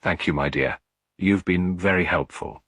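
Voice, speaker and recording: A man voicing a kind lady detective in a mystery story, asking questions in a gentle, polite, tactful way. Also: low-pitched, a little slow, no echo. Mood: kindly, inquisitive. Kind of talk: detective story character reading.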